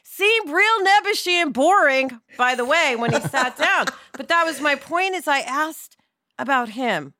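Animated, bright-voiced American woman. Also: high voice, a little slow, no echo, studio quality. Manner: animated, chatty, digressive. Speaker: podcast co-host. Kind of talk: casual chat with some scripted intro.

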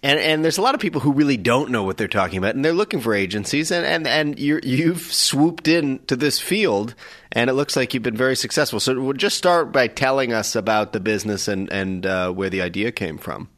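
A man's voice: mid-range voice, animated, fairly quick, a bit bright. A young man from Nova Scotia hosting a podcast, introducing guests and asking questions in a friendly way with frequent stammered restarts. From Nova Scotia, Canada. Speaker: podcast host. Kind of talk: podcast interview.

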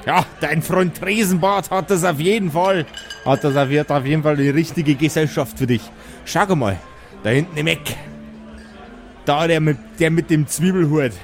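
A man's voice: a little high, normal speed, faint music behind, lightly acted. Man playing a hearty Bavarian dwarf innkeeper. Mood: hearty, teasing. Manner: folksy, familiar tavern banter. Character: jovial long-bearded dwarf innkeeper, hearty and earthy.

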